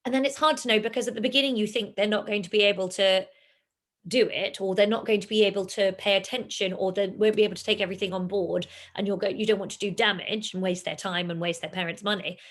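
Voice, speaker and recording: A woman, a singing teacher, talking in a relaxed interview and acting out her pupils' lines. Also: mid-range voice, fast talker. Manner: animated, acts out pupils in quoted voices. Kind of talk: casual interview chat.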